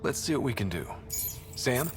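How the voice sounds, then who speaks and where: An earnest young human man leading an expedition. His mid-pitched voice moves expressively and has a gravelly fry at the edges. He talks easily and conversationally, as in a cinematic video game, over faint music. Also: big pitch swings, normal speed. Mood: focused. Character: young human male explorer, earnest, easygoing expedition leader. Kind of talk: naturalistic video game acting.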